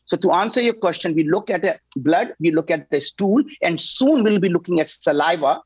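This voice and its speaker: Energetic man in his sixties pitching. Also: normal speed, breathy edge, no echo, muffled recording. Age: 60s. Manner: energetic, emphatic, repeats "right?". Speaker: company founder guest. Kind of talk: enthusiastic pitch on a talk show.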